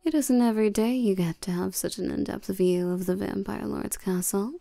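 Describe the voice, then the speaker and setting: A woman playing a regal, seductive and domineering vampire queen in a scripted roleplay audio drama, coaxing and commanding the listener with soft menace. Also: mid-range voice, normal speed, creaky, dry recording, lightly acted. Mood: smooth, probing.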